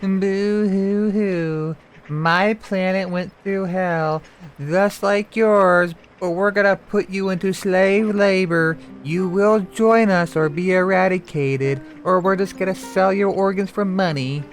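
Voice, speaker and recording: A man's voice: high-pitched, fairly even intonation, takes it slowly, slight background noise, voice pushed up. A man putting on a mocking, whiny parody of a greedy corporate agent while commenting on a game. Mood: mocking, menacing.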